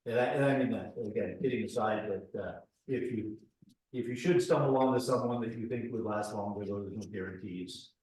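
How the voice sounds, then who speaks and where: A man of about sixty, the town treasurer, giving a halting, self-deprecating report with fillers and restarts. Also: lowish voice, darkish tone. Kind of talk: meeting report, casual. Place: town meeting room. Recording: very echoey room, voice far from mic.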